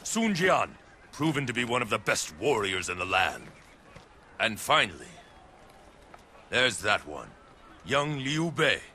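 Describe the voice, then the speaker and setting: An actor playing a proud, ambitious warlord of ancient China in a game cutscene, speaking gravely in measured, oratorical phrases. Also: male voice, mid-range voice, very animated, pushed and bright, slight background noise, full character voice. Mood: grave, wary. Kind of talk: dramatic video game cutscene acting.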